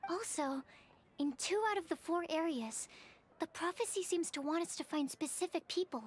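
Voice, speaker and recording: A woman's voice: extremely high pitch, normal speed, a little hiss or hum. A woman playing a polite, timid, bookish young scholar in a video game, speaking in an earnest and careful way. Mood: earnest, thoughtful. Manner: earnest, careful, hesitant, explanatory. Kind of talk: scripted video game dialogue. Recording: music behind the voice.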